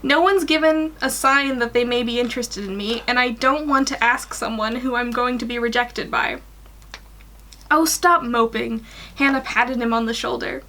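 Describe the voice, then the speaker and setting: A woman's voice: fairly high pitch, fairly even intonation, moderate pace, sharp tone. A woman in her twenties reading a fan story aloud and chatting informally in a small room at home. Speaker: guest reader on a fan fiction livestream.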